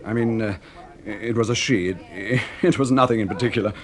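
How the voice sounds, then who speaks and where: An actor playing a flustered, evasive well-bred English husband in a radio drama. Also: male voice, lowish voice, brisk, natural voice. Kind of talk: BBC radio drama acting. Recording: noisy old radio drama recording.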